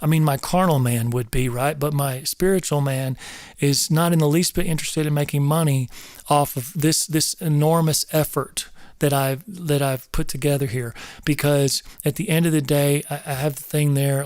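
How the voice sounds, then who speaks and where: A man's voice: fairly high pitch, a little mellow, no room sound, clean studio sound. A middle-aged man from North Georgia, talking casually and earnestly to viewers of his live show, rambling and repeating himself with preacherly asides. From North Georgia, US South. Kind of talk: casual livestream talk to viewers.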